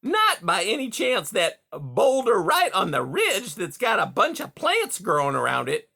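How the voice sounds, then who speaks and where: A man's voice: high-pitched, huge pitch swings, unhurried, gravelly, bright, forceful tone, voice pushed up, full character voice. A man reading aloud, voicing a smarmy, hearty male beaver who chatters in folksy salesman sayings.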